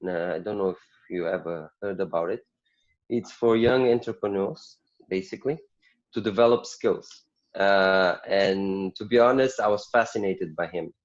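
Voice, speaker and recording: Brief: lower male guest from Portugal, candid storyteller, muffled lo-fi line. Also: moderate pace. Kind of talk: casual interview storytelling. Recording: dull lo-fi call audio.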